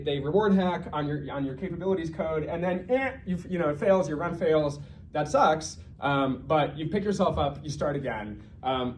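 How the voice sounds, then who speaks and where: Guy doing a casual research talk, talks fast, kinda thinking out loud, bit of noise in the background. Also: on the high side. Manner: conversational, thinking aloud with self-corrections and asides. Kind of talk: informal research lecture.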